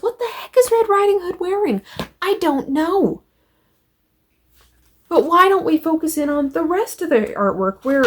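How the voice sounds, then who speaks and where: A woman's voice: fairly high pitch, a little mellow. A woman hosting a review, retelling and critiquing a story in an emphatic, conversational way with rhetorical questions. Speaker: comic book reviewer and host.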